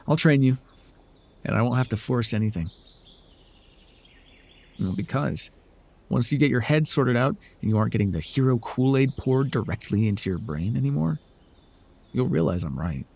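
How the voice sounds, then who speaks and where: A man's voice: medium pitch, gravelly, darkish tone. A man playing a smug, teasing telepath supervillain who mentors a hero, in a wry, theatrical yet conversational ASMR roleplay. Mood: smug, coaxing.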